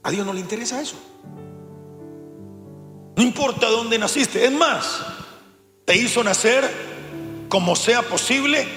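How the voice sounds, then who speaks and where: A man's voice: very high voice, a little slow, long pauses, sharp tone. A man with a Latin American Spanish accent preaching a sermon, speaking emphatically and addressing his congregation directly with rhetorical questions and repetition. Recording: echoey hall, long reverb, music behind.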